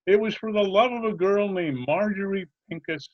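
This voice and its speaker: A man's voice: high-pitched, moderate pace. A man playing a genial, scatterbrained butcher in old-time radio comedy style, chatty, earnest and politely literal-minded. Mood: chatty, obliging. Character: genial scatterbrained male butcher who muddles every question. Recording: dull remote video-call recording.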